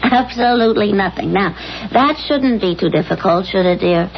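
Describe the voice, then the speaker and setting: An actress playing a coaxing, determined, encouraging wife, doting but bossy, in 1970s radio drama style. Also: female voice, medium pitch, normal speed, creaky, lightly acted. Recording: muffled 1970s radio recording with music.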